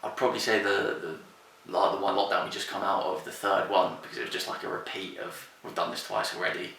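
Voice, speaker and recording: A man's voice: sits low, steady tone, fast talker, gravelly, pushed and bright. A young man, answering casually and hesitantly. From Bromley, London. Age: 20s. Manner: casual, hesitant, lots of fillers. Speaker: interviewee. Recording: very echoey room, distant mic.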